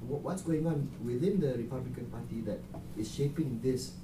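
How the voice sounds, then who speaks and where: A man's voice: medium pitch, normal speed, noisy background. A young man from Malaysia, a student, asking a question from the audience, hesitant with many restarts. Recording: distant audience mic in an echoey hall.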